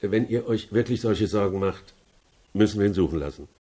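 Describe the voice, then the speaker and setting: An actor playing a worldly, reassuring middle-aged lawyer in a radio crime drama, casual and placating. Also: male voice, fairly low pitch, a little fast, mellow, breathy edge.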